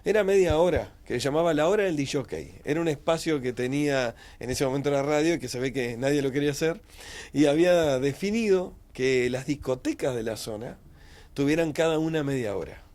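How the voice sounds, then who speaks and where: A man's voice: fairly high pitch, lively intonation, a little fast, darkish tone. A man, a radio host answering interview questions in a small studio, fluent and matter-of-fact. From Uruguay. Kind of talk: interview answers, recounting career.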